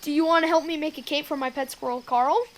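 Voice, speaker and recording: A woman voicing an outgoing, chatty preteen boy at summer camp in a children's audio drama, breezy, informal and excitable. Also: high voice, fast, noisy background, lightly acted. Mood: excited.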